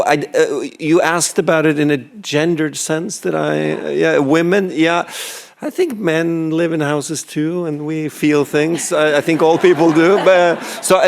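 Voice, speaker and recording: A man, a film director, answering a press question thoughtfully, searching for words as he goes. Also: medium pitch.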